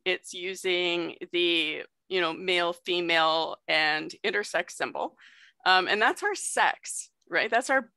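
Gravelly, bright-voiced young woman explaining. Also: on the low side, no echo. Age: young adult. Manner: explanatory, conversational, checks in often. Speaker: guest sex educator. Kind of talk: educational presentation on video call. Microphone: video call.

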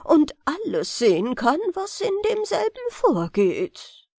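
A woman's voice: sits high, wildly swooping pitch, takes it slowly, creaky, darkish tone, no echo, studio quality, voice pushed up, heavily acted. A woman voicing a proud, stubborn king in a fairy tale, with emphatic, imperious delivery. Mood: demanding.

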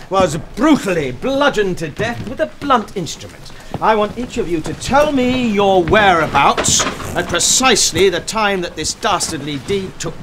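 Pompous English detective for a whodunnit spoof: declamatory, theatrical, swooping delivery. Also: male voice, high-pitched, fairly bright tone, a little hiss or hum, exaggerated voice. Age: middle-aged. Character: pompous, theatrical English male detective in a country-house murder mystery. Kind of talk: comic whodunnit acting.

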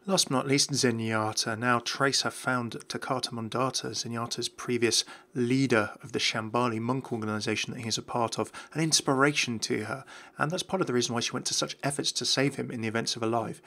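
A man narrating game lore from a script as a video host. His voice is mid-pitched and bright, with a gravelly creak. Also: fairly even intonation, brisk.

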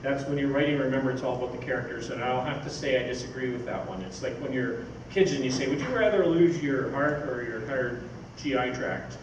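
An older man giving a public talk in a reflective, conversational way, pausing to think as he goes. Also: mid-range voice, animated. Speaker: guest speaker. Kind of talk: public talk with audience questions. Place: small theatre stage, indoors. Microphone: distant camera microphone. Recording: very echoey, noisy hall from far off.

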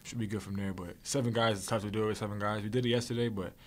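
A man's voice: lowish voice, fairly even intonation. A young man, a basketball player, answering postgame questions in a casual, repetitive way at a desk mic in a press room. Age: young adult. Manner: casual, rapid, repetitive phrasing. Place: press room with logo backdrop. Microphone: desk mic on stand.

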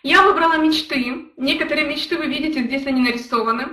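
A woman's voice: sits high, fast, bright, forceful tone, echoey room, distant mic. A young woman from Ukraine giving an earnest motivational talk on a live stream, telling her own story and speaking to viewers in the chat. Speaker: network marketing leader.

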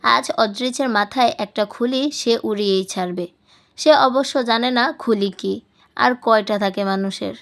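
A woman's voice: a little high, measured pace, vocal fry. A woman reading a scripted story as an audiobook narrator. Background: music.

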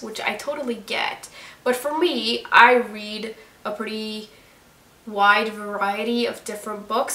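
A young woman with a Norwegian accent explains things to her viewers in a steady, friendly way. Her voice is bright and sharp. The camera sits on a desk a short way off, so a roomy echo follows each sentence. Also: mid-range voice. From Norway. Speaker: book vlogger. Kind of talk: scripted chat to camera. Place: room with candles and plants. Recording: roomy echo, voice a little distant.

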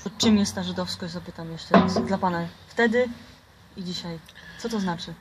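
A woman's voice: on the low side, moderate pace, very noisy. A young woman from Poland interviewing casually outdoors, asking curious, probing questions. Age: young adult. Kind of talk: casual conversation. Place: outdoor garden patio. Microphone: camera close by.

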